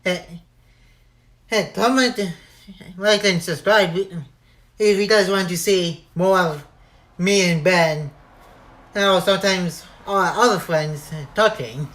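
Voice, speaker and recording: Young guy on a call running his stream, talks slow, short answers. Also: sits high, fairly bright tone. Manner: short replies, unhurried. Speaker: live stream host. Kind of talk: casual chat on a live stream.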